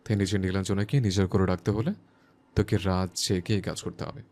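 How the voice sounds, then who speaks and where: A man's voice: low voice, steady tone, a little fast, darkish tone. A man playing a lovestruck, stubborn young teacher who talks intensely to himself in an audio story. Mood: determined. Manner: intense self-talk, scolding and urging himself. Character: lovestruck, stubborn young male teacher driving himself through a sleepless night. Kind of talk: dramatised audio story acting.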